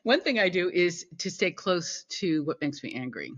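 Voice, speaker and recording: A woman guest in an interview, talking thoughtfully with many pauses and restarts. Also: on the low side, big pitch swings, lo-fi sound. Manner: thoughtful, halting with fillers and restarts. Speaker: podcast guest. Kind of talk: conversational interview.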